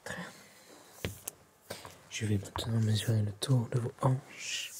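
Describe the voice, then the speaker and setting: A man whispers softly and breathily, giving polite, gentle, formal instructions as a tailor in an ASMR roleplay. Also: lowish voice, fairly even intonation, a little slow. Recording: clear background noise with clicks.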